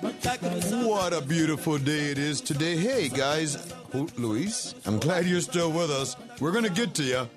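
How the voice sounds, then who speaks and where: A man's voice: a little high, animated, unhurried, music in the background. A man hosting a call-in radio talk show, upbeat and folksy as he greets callers and guests.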